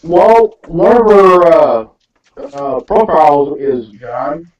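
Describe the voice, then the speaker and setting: A man talking casually in a group discussion, halting and repeating himself. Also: fairly high pitch, big pitch swings, creaky, a little mellow. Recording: very echoey, distant, dull mic.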